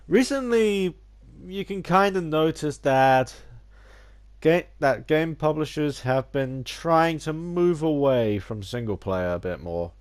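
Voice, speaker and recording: Gaming YouTuber with a head cold, a little nasal, stumbling and restarting sentences, mid-pitched. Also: male voice. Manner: rambling, many restarts and stumbles; theatrical opening greeting. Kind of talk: casual video essay.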